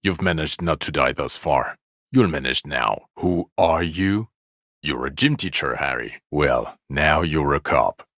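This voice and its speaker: An actor playing a jaded, bitter police detective in a video game, speaking with blunt, weary, deadpan sarcasm in a gravelly voice. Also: male voice, a bass voice, measured pace, no echo, studio quality, heavily acted. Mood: weary, grudging. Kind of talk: dramatic video game dialogue.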